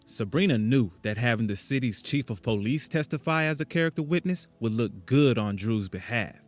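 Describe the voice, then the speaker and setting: A man narrating an audio drama with an even, storytelling delivery. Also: mid-range voice, normal speed, faint music behind. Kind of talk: scripted narration.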